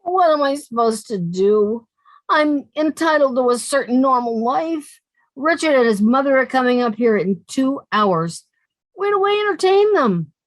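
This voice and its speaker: A woman playing a sensible, exasperated young roommate in a 1950s-style radio comedy, with a brisk, put-upon delivery. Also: high-pitched, no echo, low-quality recording.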